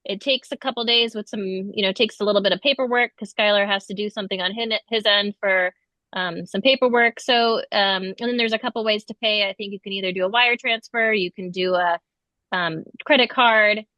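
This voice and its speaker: Female webinar host, bright clear-edged tone, casual halting delivery, dry close sound. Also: medium pitch, steady tone, no echo. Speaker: trip host. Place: online video call.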